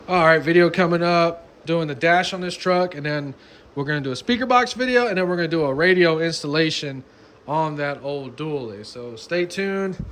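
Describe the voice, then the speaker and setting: A man's voice: high voice, fairly bright tone. A man giving a casual, plain-spoken how-to narration as the host of a DIY car audio video, in informal colloquial speech. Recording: clearly noisy background.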